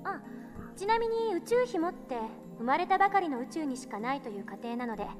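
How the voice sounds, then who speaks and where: A confident young female scientist lectures in a visual novel with a very high voice. She talks extremely fast and teases as she explains, with clear background music behind her. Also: lively intonation. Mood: confident, instructive. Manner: brisk, clearly enunciated explaining, playfully challenging. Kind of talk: Japanese visual novel voice acting, lecture delivery.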